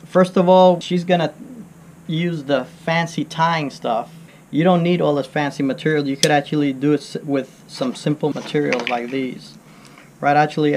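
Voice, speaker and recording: A man's voice: on the high side, fairly even intonation, normal speed, a little hiss or hum. A middle-aged man from Florida giving a casual, hands-on demonstration of tying fishing lures, easygoing and rambling, often saying "you know.". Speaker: fishing guide teaching lure making. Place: under a covered boatyard shelter outdoors.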